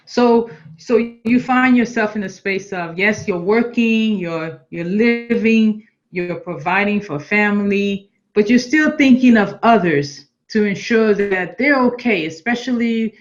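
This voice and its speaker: A woman's voice: medium pitch, measured pace, few pauses. A middle-aged woman hosting an interview show over a video call, encouraging and friendly, often restarting her words. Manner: warm and encouraging, with stumbling restarts. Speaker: host. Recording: dull lo-fi video call audio.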